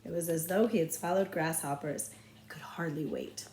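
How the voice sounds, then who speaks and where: A woman's voice: deep voice, moderate pace, darkish tone, a little hiss or hum. Woman storyteller in an echoey room. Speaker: librarian reading aloud. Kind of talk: children's novel read-aloud storytelling.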